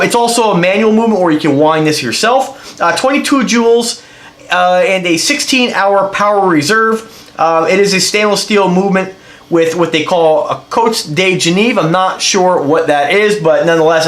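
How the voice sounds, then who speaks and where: A man's voice: high voice, fairly bright tone, roomy sound. A man with a congested voice from a cold, rambling enthusiastically through a casual product review as he handles the item. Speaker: watch reviewer.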